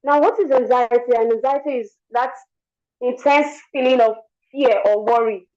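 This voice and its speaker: A woman's voice: fairly high pitch, fairly even intonation, moderate pace, a little mellow, highs cut off. Young woman speaking with a worn voice. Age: young adult. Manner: earnest, repeats words while speaking. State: lost her voice. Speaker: guest speaker. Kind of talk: motivational talk.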